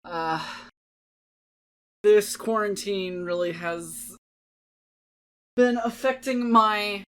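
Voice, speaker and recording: A woman's voice: mid-range voice, very slow, clear, resonant tone, poor audio. A woman giving a casual, rambling, self-deprecating outro as the host of a dramatic-reading channel.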